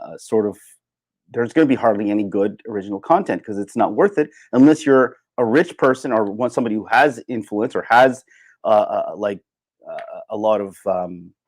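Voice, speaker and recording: A man's voice: fairly low pitch, fairly quick, a little mellow, dry recording. A man speaks in an unscripted, conversational livestream style. He rambles through his points and repeats phrases to drive them home. The sound is dry, with very little room in it. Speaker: livestream host. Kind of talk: casual unscripted livestream rant, reading and answering chat.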